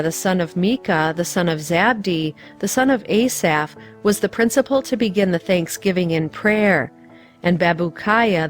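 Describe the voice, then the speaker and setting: A woman with a fairly low voice narrates scripture from a script. Her reading is measured and formal. Music plays behind her. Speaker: Bible reader.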